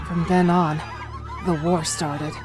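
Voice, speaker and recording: A woman voicing a steady, serious underground rebel, telling things in a measured way in a comic dub. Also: lowish voice. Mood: grave. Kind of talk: amateur comic dub acting. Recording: music behind the voice.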